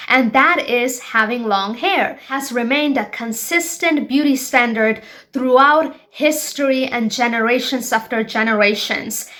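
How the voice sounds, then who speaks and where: A woman's voice: on the high side, fairly bright tone. A woman in her twenties from Bangladesh hosting her own show, talking frankly and emphatically to her viewers. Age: 20s. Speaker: show host. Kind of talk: personal vlog monologue.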